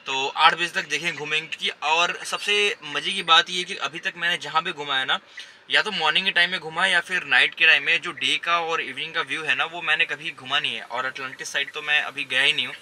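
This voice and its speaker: A man's voice: fairly high pitch, steady tone, fairly quick, pushed and bright, a little hiss or hum. A man vlogging casually from inside a car, talking straight to his viewers about where he is going next. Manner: chatty, addresses viewers directly. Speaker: travel vlogger. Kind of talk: casual travel vlog.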